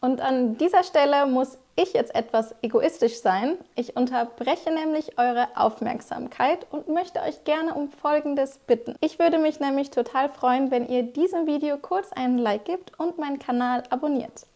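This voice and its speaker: Young woman teacher, pretty high voice, clear explainy delivery talking straight to the viewers. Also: moderate pace, few pauses, darkish tone. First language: German. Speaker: German teacher. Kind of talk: scripted vocabulary lesson.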